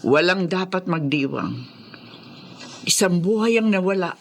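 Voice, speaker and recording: A woman's voice: on the low side, lively intonation, measured pace, a little hiss or hum. A woman acting as a caring, admonishing figure who urges peace in a Filipino radio drama. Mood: solemn, reproving.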